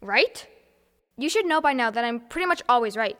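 A woman's voice: a little high, lively intonation, normal speed, a bit bright, in a large hall. A woman voicing a bold, confident young girl with an upbeat, bossy way of talking.

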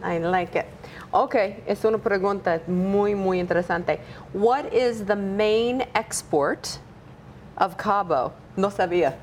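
Woman host, slow deliberate pace, switches between two languages, quiz questions, faint background noise. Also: medium pitch. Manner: mixes English and Spanish, asks quiz questions. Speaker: podcast host. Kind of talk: casual bilingual interview.

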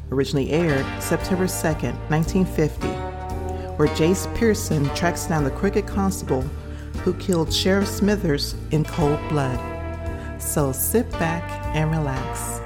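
A man introduces a show as its host, reading from a script. Music plays clearly behind his voice. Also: on the high side. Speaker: podcast host.

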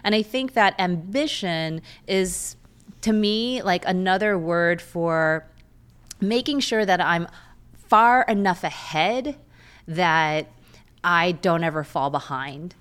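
Woman in her 30s, first language korean, chatty interview guest, smooth lowish voice. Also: slow pace, fairly bright tone. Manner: candid, chatty, with frequent fillers. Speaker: guest, lawyer and runner. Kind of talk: reflective interview answers.

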